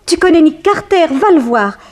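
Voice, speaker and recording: Casting: anxious, pushy wife in vintage radio serial, high-pitched, emphatic. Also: female voice, expressive, normal speed, a little mellow. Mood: urgent, insistent. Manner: dramatic, emphatic. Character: alarmed, insistent wife urging her threatened husband to act. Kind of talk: 1960s French radio serial acting.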